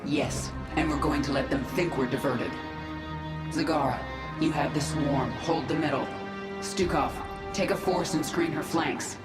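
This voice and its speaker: A woman's voice: sits low, steady tone, unhurried. A woman playing a cold, vengeful alien queen in a video game, giving clipped, authoritative orders with resolute force. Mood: commanding. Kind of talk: video game cinematic acting. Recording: echoey, loud music behind.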